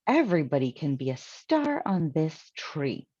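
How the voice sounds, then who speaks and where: A woman's voice: low voice, sing-song, unhurried, a little mellow, slightly rough, no echo, highs cut off, full character voice. A woman voicing a cheerful, naive, literal-minded housekeeper from a children's storybook, earnest and exclamatory. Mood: excited.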